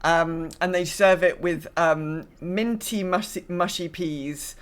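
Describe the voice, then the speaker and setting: A woman with a British accent co-hosting a podcast in relaxed, informal conversation. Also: lowish voice, slow pace, clear, resonant tone. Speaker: podcast co-host. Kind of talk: casual chat.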